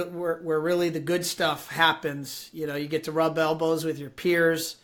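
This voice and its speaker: A man hosting a live-streamed interview show, chatty and upbeat with many fillers, his voice raspy and hoarse from allergies. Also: on the high side, sharp tone. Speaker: host.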